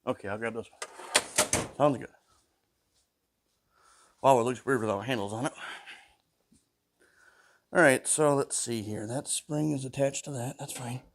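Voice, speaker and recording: A man's voice: medium pitch, measured pace, pauses often. A man with a stuffy nose talking to himself while he takes apart an old mower in his workshop, humming between tasks. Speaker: small engine repair vlogger. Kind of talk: casual talk while working.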